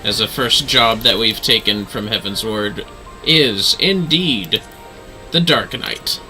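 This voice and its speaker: A man giving casual livestream game commentary in an animated way, often talking to himself. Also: on the low side, slow pace, poor audio. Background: music.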